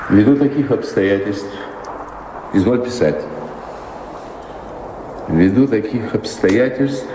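An actor playing a melancholy baron in a radio drama, speaking in a measured, brooding, commanding way. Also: male voice, lowish voice, background noise. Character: melancholy baron, master of the house, yearning for faraway seas. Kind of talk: theatrical radio drama acting. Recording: reverberant hall, music behind.

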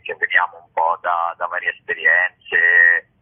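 A man speaking casually and haltingly as a guest in a radio interview. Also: low-pitched, monotone, no room sound. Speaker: cabaret collective member, interview guest. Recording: tinny, dry phone line.

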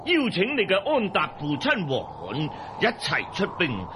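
A man's voice: fairly high pitch, wildly swooping pitch, normal speed, noisy background. A man playing a boisterous, ruthless old Mongol khan in a Cantonese audio drama, talking in a hearty, patronising, theatrical way. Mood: hearty, scheming. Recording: music bed behind the voice.

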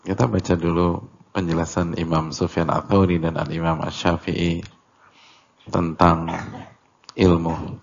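A man giving an Islamic religious lecture as a teacher, speaking deliberately in short phrases with pauses, on a muffled recording. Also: low voice, little pitch movement, vocal fry. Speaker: Islamic teacher leading a religious study class. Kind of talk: religious lecture explaining a classical text.

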